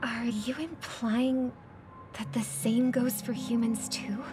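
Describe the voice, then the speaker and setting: An actress playing an earnest, idealistic young woman in a video game, speaking softly and thoughtfully. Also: a little high, steady tone, slow, music in the background. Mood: quietly indignant. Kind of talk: dramatic video game voice acting.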